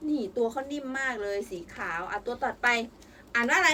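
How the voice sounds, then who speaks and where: Lively bright-voiced mother coaxing a child. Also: a little high, fairly quick. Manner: encouraging, coaxing, sing-song questions. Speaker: mother reading a picture book with her child. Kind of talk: reading aloud and quizzing a child. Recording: voice picked up from a distance with faint noise.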